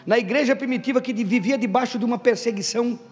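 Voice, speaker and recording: A man preaching a Pentecostal sermon to his congregation. He talks emphatically, calls out questions to the room and builds to loud stressed bursts. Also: very high voice, quick pace. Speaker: pastor. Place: church hall. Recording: reverberant hall with faint background music.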